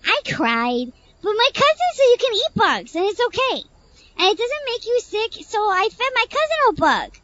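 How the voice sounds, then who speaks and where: A woman's voice: very high-pitched, big pitch swings, normal speed, fairly bright tone, dry recording, highs cut off, heavily acted. A woman playing a tiny, guileless little boy with childish, simple storytelling in a comedic audio drama. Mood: innocent, matter-of-fact.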